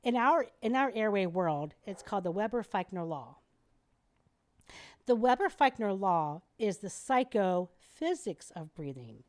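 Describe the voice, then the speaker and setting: A middle-aged woman, a guest airway specialist, explaining and demonstrating in a lively, teacherly way during a podcast interview. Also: mid-range voice, a little slow.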